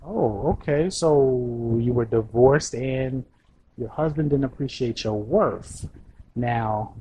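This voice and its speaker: A man's voice: mid-range voice, slow, warm tone, breathy edge, rough recording. A man hosting a podcast interview, teasing and joking in a loose, casual way. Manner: teasing, keeps saying "you know what I'm saying". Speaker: podcast host.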